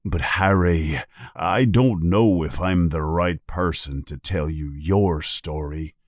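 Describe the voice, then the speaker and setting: A man voicing a big gruff but kind-hearted giant in a storybook reading, growling low and slow. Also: dry recording, clean studio sound. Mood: gruff, troubled.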